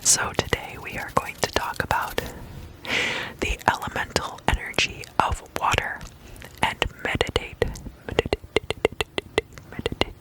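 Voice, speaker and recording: A whispering host leading an ASMR guided meditation in an unvoiced, breathy voice, soothingly repeating words and phrases. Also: unhurried, long pauses, bright, forceful tone, poor audio.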